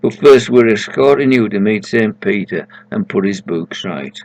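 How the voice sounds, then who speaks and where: A man's voice: fairly low pitch, animated, normal speed, darkish tone, lightly acted. A man voicing a kindly, practical woman who escorts souls to heaven in a story. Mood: kind, brisk.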